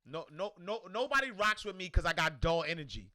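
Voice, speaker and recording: A man with a New York accent hosting a livestream, loudly and energetically answering viewer comments in casual chat. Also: sits high, fairly quick. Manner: loud and energetic, reads comments aloud. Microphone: desk microphone.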